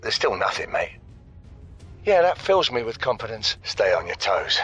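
An actor voicing a tough, sardonic middle-aged English gunman in a video game, with a rough, gravelly voice, blunt and slangy in short combat lines. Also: fairly low pitch, big pitch swings, normal speed, fairly bright tone, dull audio, heavily acted. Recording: game audio over faint music.

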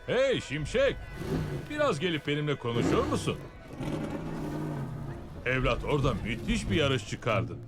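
Genial sponsor car, very animated intonation. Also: male voice, a little high, measured pace, pauses often. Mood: gracious. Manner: gracious, persuasive. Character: genial older gentleman car, wealthy team sponsor. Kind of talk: animated film dub acting. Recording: loud film music behind.

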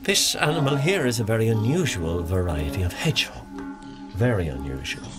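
Guy doing a pompous nature doc narrator for a cartoon, super animated delivery, loud music behind him. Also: lowish voice, fairly quick, natural voice. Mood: solemn, intrigued. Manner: theatrical, self-important, hushed asides. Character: pompous, excitable male nature documentary presenter, mock-serious. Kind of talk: mock nature documentary narration.

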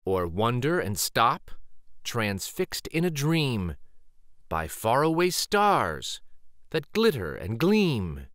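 Man reading aloud with very animated pitch. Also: takes it slowly, no room sound. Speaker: children's story reader and host. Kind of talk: read-aloud picture book narration.

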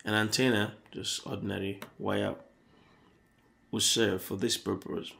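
A man hosting an electronics tutorial, explaining circuit diagrams on paper in a halting, self-correcting way. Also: lowish voice, flat delivery, gravelly, sharp tone.

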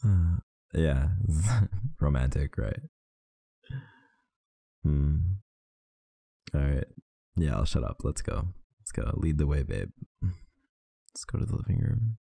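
A man with an extremely deep, gravelly voice murmurs slowly and tenderly into a close mic. His tone is dark and husky, and it sounds tired and affectionate. The sound is dry, with no room around it. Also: sing-song, no echo. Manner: soft, intimate, affectionate murmuring. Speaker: ASMR roleplay performer. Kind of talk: ASMR boyfriend roleplay.

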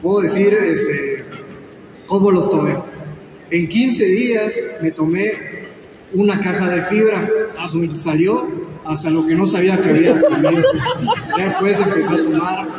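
A man's voice: sits high, measured pace. A man from Campeche cheerfully giving a testimonial to an audience. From Campeche, Mexico. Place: large hall. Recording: echoey hall from far off, crowd and noise.

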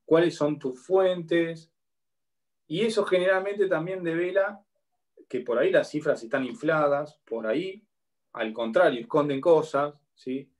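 An Argentine man in his thirties lectures from a home room with a bookshelf, speaking into a laptop webcam. His voice sits slightly high, and his delivery is explanatory. He keeps pausing to check in with his students. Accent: Argentine Spanish. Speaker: law lecturer. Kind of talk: online university lecture. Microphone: laptop webcam on a video call.

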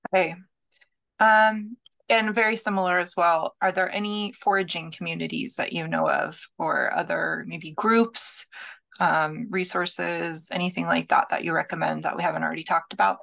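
A young woman moderating a webinar over a headset, reading out audience questions in a measured way. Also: lowish voice, steady tone, creaky, fairly bright tone. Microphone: headset on a video call.

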